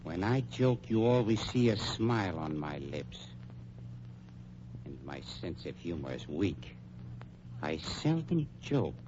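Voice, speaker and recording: An actor playing a coolly menacing funeral home owner with silky, formal politeness in an old-time radio crime drama. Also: male voice, low voice, slow pace, long pauses, exaggerated voice. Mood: cold, menacing. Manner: formal, silkily polite, deadpan. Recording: muffled, noisy old radio recording.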